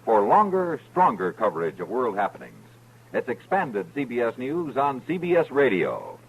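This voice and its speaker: An American man delivers a scripted network radio promo. His medium-pitched voice swings expressively in a polished, emphatic style. The old recording carries slight noise. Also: huge pitch swings, moderate pace, lo-fi sound.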